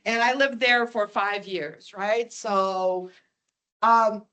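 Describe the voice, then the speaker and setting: A woman's voice: medium pitch, steady tone, slow pace, sharp tone. A woman from Ukraine and Lebanon, a native Ukrainian and Russian speaker with a foreign accent, giving an informal, chatty academic talk and putting questions to her audience. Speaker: visiting academic, guest speaker.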